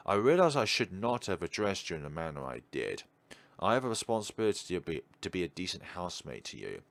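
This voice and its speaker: A man voicing a stiff, formal young housemate in a visual novel, contrite and halting. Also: deep voice, very animated, vocal fry, exaggerated voice. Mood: contrite, awkward.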